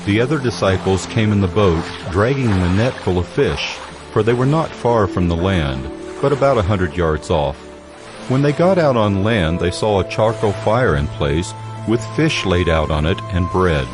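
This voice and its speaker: Low-pitched male Bible narrator over music. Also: lively intonation, normal speed. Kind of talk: scripted scripture narration.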